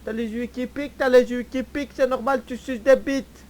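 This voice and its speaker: A man's voice: very high-pitched, steady tone, normal speed, darkish tone. A man improvising roleplay banter in an online game in a teasing, crude, clownish manner, often repeating his phrases. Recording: faint background noise.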